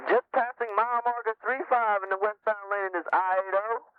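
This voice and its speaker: A cooperative male driver chats in casual CB jargon. The voice is high and dry, with the narrow tinny band of a radio in a scripted demonstration. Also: steady tone, moderate pace, clean tone, no room sound. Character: Jackrabbit, friendly cooperative male highway CB driver. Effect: CB radio filter. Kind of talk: scripted CB radio role-play.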